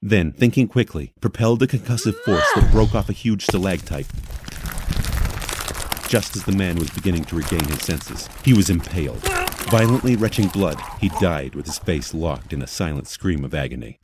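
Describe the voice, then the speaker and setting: Deep-voiced male fantasy narrator. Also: lively intonation, normal speed, very noisy. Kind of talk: dramatic scripted fantasy narration.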